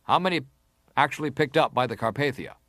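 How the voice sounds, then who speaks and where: An actor playing an insistent senator who leads a formal inquiry, questioning in a pressing, formal manner. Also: male voice, medium pitch, fast, a bit bright, no echo, studio quality, natural voice. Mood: probing, formal. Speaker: actor reading testimony. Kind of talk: dramatized reading of hearing testimony.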